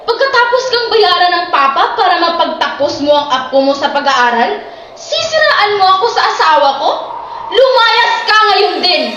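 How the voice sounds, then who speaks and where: Woman acting a shrill, furious, unstable wife. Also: very animated, moderate pace, heavily acted. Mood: furious, accusing. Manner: shrill, accusing, theatrical shouting. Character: unstable, furious wife turning on her old nanny. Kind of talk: amateur radio drama acting. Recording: very echoey room with faint noise.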